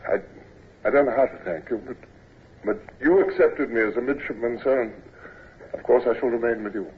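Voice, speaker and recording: An actor playing an earnest, proud young navy midshipman with a husky voice who stammers when he is moved, in a classic radio drama. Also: medium pitch, a little fast, a little hiss or hum, natural voice. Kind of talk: 1940s-50s radio acting. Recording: tinny old radio broadcast recording.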